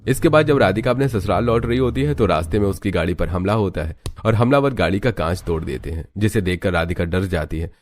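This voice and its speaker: A man's voice: low voice, quick pace, few pauses, a little hiss or hum. A man narrating a scripted moral story as a storyteller.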